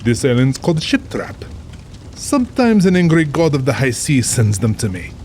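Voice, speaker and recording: A man's voice: mid-range voice, big pitch swings, normal speed, darkish tone, a little hiss or hum, natural voice. Man playing a suave, pompous aristocratic general, rain behind. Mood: cold, menacing. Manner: pompous, suave, amused, formal diction. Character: urbane, cold-blooded older aristocratic general, a big-game hunter. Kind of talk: dramatized audiobook acting.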